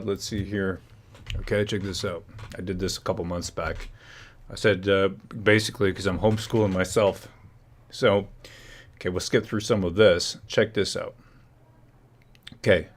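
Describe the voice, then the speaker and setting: A man with a low-pitched voice hosts a casual livestream. He rambles and jokes sarcastically as he reacts to clips. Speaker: livestream host.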